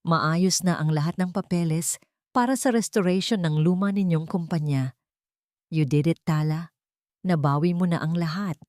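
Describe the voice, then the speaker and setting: A woman narrator plays a supportive gentleman in a romance story. He speaks gently and admiringly in a low voice, on a dry recording. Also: normal speed, no echo, lightly acted. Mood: admiring, reassuring.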